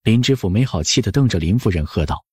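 A man's voice: on the low side, animated, fast, darkish tone, slightly rough, dry recording, studio quality. A man narrating an audiobook in a scripted storytelling style.

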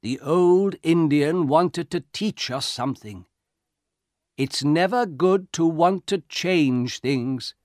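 A man voicing a grave old soldier in a storybook, warning others slowly and solemnly. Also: fairly high pitch, animated, a little mellow, dry recording, studio quality, pitched above the performer's own voice.

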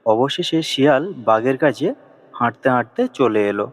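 A man's voice: mid-range voice, normal speed. A man narrating a scripted animal folk tale as a storyteller. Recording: long echoing reverb.

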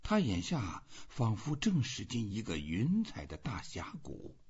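Male narrator for literary audiobook. Very husky voice, slow delivery, slightly muffled recording. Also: on the low side, wildly swooping pitch, a little mellow. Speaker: audiobook reader.

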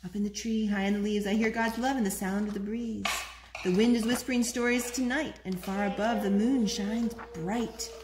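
A woman in her thirties reading a picture book aloud to small children in an expressive, singsong way at home. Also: mid-range voice, moderate pace. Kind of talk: storytime read-aloud. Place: living room at home, on a sofa. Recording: roomy, noisy home video recording.

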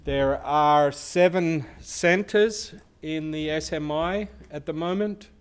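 A man's voice: a little high, slow pace, clean tone. Man with a big resonant voice. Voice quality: big loud voice. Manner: explanatory, asks the audience questions. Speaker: research institute speaker. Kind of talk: conference presentation.

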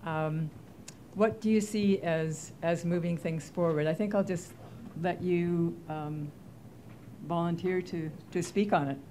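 Older woman moderating a panel, halting. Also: lowish voice, dark tone, slight background noise. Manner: halting, many fillers and restarts. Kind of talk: hesitant spoken question to a panel. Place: conference room, seated at panel table. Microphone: handheld mic.

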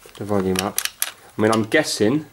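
A man's voice: fairly low pitch, expressive, a little fast. A man thinking aloud in casual, unscripted commentary as he hosts a hands-on amateur repair video. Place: carpeted room at home. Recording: noisy home camera audio with clicks.

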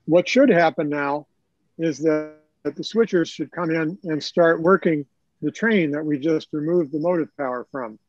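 A man's voice: on the high side, moderate pace, no echo, muffled recording. An older man giving an informal live tutorial, explaining things in a conversational way. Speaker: presenter, hobbyist teaching video editing.

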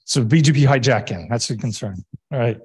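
A man's voice: mid-range voice, fairly quick, slightly rough. A man moderating a roundtable in an informal, conversational way. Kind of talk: informal facilitating of a discussion.

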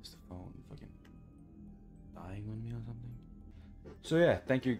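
A man's voice: medium pitch, lively intonation, normal speed, over loud music. A young man giving casual, sweary gameplay commentary in an informal way. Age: young adult. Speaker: gaming video creator. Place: small bedroom, indoors.

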